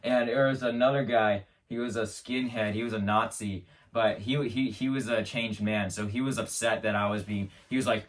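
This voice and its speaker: Man host with a lowish voice, stammering through a deadpan tall tale, mic set back from him. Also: moderate pace, hardly any pauses, recorded from a distance. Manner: rambling, stammering restarts, mock-serious. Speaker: show host. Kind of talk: absurd comedic monologue.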